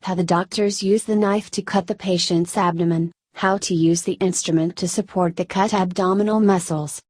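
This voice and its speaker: A woman narrates a scripted story in the first person. Her voice sits a little on the low side for a woman. The sound is dry, with very little room in it. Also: steady tone, normal speed, darkish tone, no echo.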